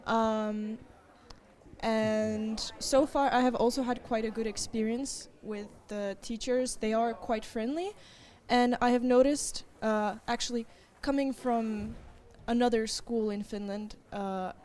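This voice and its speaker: A young woman student answering interview questions, thoughtful and measured, pausing often. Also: a little high, steady tone, a little mellow. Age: young adult. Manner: thoughtful, with frequent pauses and fillers.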